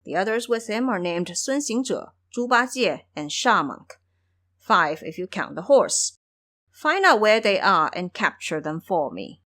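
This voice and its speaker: A commanding monster king, acted by a woman in an audio storybook. He lays things out with an elder brother's authority, his pitch rising and falling vividly. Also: very animated, measured pace, fairly bright tone. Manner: authoritative, explanatory.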